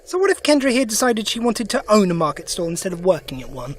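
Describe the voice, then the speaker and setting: An actor playing a sharp, principled alien adventurer in an audio drama, brisk and inquisitive. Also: male voice, high-pitched, big pitch swings. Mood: pointed questioning. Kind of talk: full-cast audio drama acting.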